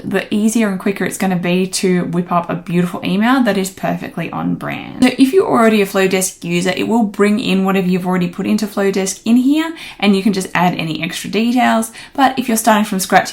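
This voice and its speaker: A woman talking through a design software tutorial in a casual, friendly, step-by-step way. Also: mid-range voice, gravelly.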